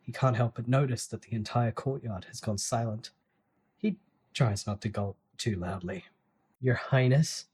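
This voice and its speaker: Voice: mid-range voice, normal speed, a little mellow, slightly rough. A narrator reading a novel aloud as a scripted dramatic audiobook. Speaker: story narrator.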